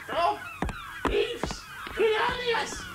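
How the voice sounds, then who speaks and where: A man voicing an irate, shouting boat owner. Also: extremely slow, noisy background, full character voice. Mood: furious. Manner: shrill and furious.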